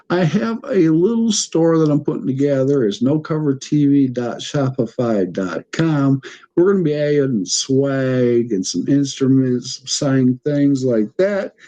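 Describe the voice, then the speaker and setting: Folksy, mellow-voiced podcast host. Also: male voice, mid-range voice, a little slow, dull audio. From United States. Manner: enthusiastic, folksy, laughs often. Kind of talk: casual interview chat.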